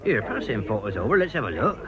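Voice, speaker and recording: A man's voice: lowish voice, very animated, fast talker, crowd noise. An actor playing a grumbling, nosy, blunt man with a working-class English accent, colloquial and wry, in a radio drama. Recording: dull radio recording with background hubbub.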